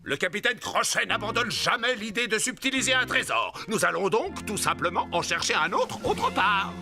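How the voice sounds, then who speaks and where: Creaky, pompous pirate villain. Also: male voice, high-pitched, sing-song, normal speed, sharp tone, soft background music, heavily acted. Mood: haughty, irritated. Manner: pompous, theatrical. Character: scheming, greedy pirate captain, grand and theatrical villain. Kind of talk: French-dubbed children's cartoon.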